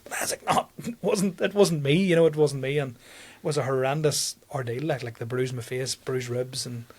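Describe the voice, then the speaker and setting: A man's voice: medium pitch, brisk. A young man, a comedian telling a story on a podcast in a rambling way, repeating words and laughing often. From Northern Ireland. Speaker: comedian guest.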